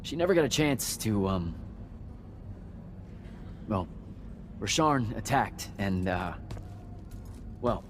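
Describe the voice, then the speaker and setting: A man playing an unassuming young battlemage hero in a fantasy video game, casual, halting and sincere. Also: lowish voice, animated, slight background noise. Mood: hesitant, awkward.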